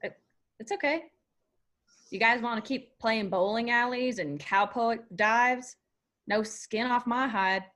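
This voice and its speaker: A woman as a blunt young country-band upstart, higher-pitched. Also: measured pace, a bit bright. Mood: defiant. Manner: blunt, challenging questions. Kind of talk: screenplay table read. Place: remote virtual table read.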